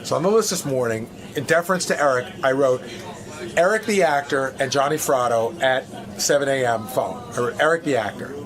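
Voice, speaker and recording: A man's voice: medium pitch, fairly quick. A male radio staffer answering interview questions candidly, blunt and emphatic, repeating himself. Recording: busy crowd chatter behind. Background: crowd noise.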